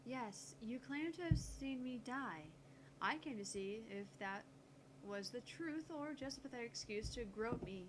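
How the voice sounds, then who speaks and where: A woman's voice: fairly high pitch, animated, a little slow, background noise. A woman voicing a brusque, self-assured eighteen-year-old female genius scientist in a visual novel, curt and no-nonsense. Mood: accusing, cool. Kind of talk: visual novel read aloud in character.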